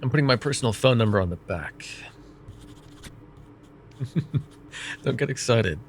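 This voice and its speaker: A man voicing a vain, wry, middle-aged male mage who counsels a student in an ASMR roleplay, speaking in a calm, measured and gently teasing way. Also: medium pitch, a little hiss or hum. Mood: calm reassuring. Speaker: voice actor.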